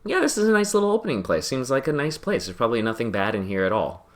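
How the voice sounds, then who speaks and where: A man's voice: medium pitch, very animated, fast. A man hosting a podcast, chatting casually while playing a game, rambling and self-deprecating and laughing often. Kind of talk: casual chat while gaming.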